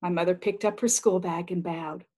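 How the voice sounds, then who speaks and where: A woman reading a children's picture book aloud as an expressive storyteller. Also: fairly low pitch, normal speed.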